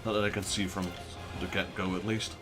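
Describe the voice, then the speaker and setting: Dutch-accented man, lowish voice, chatty gaming commentary, faint game music behind. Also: level tone, brisk. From Netherlands. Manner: chatty, talks back to viewer chat. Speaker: let's play host. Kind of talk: casual gameplay commentary.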